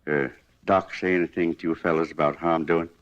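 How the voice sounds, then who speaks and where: A man's voice: low voice, fairly even intonation, a little fast, close to an everyday voice. Man playing a folksy, rambling cafe owner. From Kansas. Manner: rambling, homespun, plain-spoken. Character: folksy, talkative wounded cafe owner, former pitcher. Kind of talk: 1950s radio drama acting. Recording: dull vintage 1950s radio broadcast.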